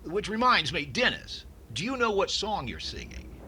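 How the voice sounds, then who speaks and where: An actor playing a fussy, exasperated radio show host with deliberate, theatrical comic timing in 1950s style. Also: male voice, high voice, very animated, bright, forceful tone, slight background noise, full character voice, rough recording. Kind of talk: 1950s radio comedy acting.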